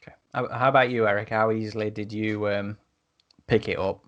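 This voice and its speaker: A man's voice: lowish voice, flat delivery, brisk, fairly bright tone, dull audio. A man hosting a podcast, moderating a casual discussion. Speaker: podcast host.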